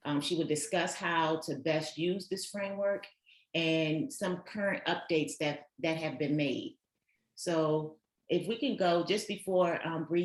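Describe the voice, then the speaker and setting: A woman with a very low voice introduces an online panel, stopping often on fillers as she finds her words. Her voice rings in a roomy, echoing space. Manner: hesitant, many "um" fillers. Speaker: subcommittee co-chair and moderator. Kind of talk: hosting a virtual learning session.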